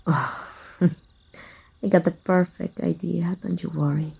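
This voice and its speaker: A woman playing a jealous, possessive female villain in an intimate ASMR roleplay, teasing in a husky, breathy murmur. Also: on the low side, steady tone, measured pace, a little mellow, full character voice. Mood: seductive, smug. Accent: Mexican.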